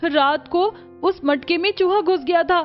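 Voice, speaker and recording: A woman's voice: very high voice, a little slow, pitched above the performer's own voice. A woman voicing a meek, frightened young orphan girl in a cartoon, pleading and childlike, on a muffled recording with music. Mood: alarmed. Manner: plaintive, childlike. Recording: muffled audio with music behind.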